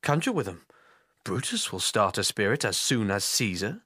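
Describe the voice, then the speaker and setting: A man playing a bitter, scheming Roman nobleman in classical Shakespearean style, declamatory, deliberate and persuasive. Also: lowish voice, very animated, bright, forceful tone, slightly rough, dry recording, clean studio sound, full character voice. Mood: scornful, persuasive. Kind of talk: classical Shakespearean stage acting.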